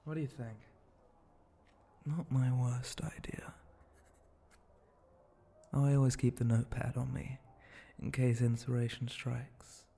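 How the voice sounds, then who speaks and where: Man playing a slow, gravelly, whispery flirty monster bartender. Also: mid-range voice, level tone, mellow, full character voice. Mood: musing, relaxed. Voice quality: breathy, often dropping into a whisper. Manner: slow, teasing, murmuring, lingering pauses. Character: flirty, teasing spider-monster male bartender, sly and relaxed. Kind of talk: intimate ASMR roleplay monologue.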